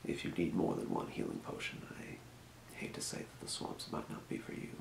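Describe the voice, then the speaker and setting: Man playing a deep whispering potion shopkeeper. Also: sing-song, gravelly, echoey room, background noise, exaggerated voice. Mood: calm, helpful. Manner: measured, murmuring, courteous merchant patter. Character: calm male potion shopkeeper in a fantasy town. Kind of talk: whispered ASMR role play. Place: small indoor room.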